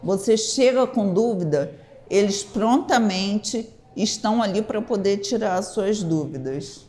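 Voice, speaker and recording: A woman's voice: medium pitch, a little slow, darkish tone. A woman, a cooking student, giving a personal testimonial.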